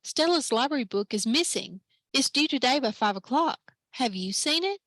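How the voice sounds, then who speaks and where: A woman doing a steady storybook dad. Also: high-pitched, normal speed, no room sound. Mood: asking. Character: gruff-joking dad, calm and steady.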